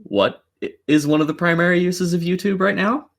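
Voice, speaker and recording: A man's voice: high voice, a little fast. A man co-hosting a casual podcast, giving opinionated commentary in a blunt, sweary, argumentative way. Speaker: podcast co-host.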